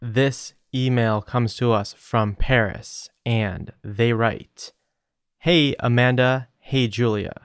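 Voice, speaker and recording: A man sitting in as a monthly podcast guest, reading a listener's email and breaking in with wry jokes. His voice is at a middling pitch for a man. The recording is dry and close. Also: steady tone, slow, smooth, dry recording. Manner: wry, joking asides. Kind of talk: casual chat, reading listener mail.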